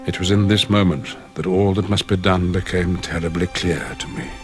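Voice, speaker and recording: A man acts a wise old wizard narrating a fantasy game. His voice is deep and grave, with portentous, measured drama. Music plays clearly behind him. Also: steady tone, close to an everyday voice. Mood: grave, foreboding. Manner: solemn, portentous.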